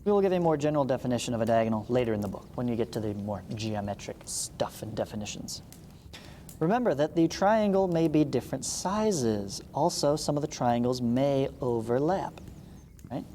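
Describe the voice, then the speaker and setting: Male teacher, mid-to-high pitched mellow voice, read-along lesson with casual asides, reverberant recording with faint noise. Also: lively intonation, a little fast. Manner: explanatory, reading aloud with informal asides. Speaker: math teacher. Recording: reverberant room with background hiss.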